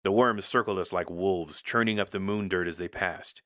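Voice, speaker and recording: A man with a very deep, forceful voice narrates scripted first-person fiction. He tells it tersely, like a gritty soldier. The sound is close and dry. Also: normal speed, no echo. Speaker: story narrator.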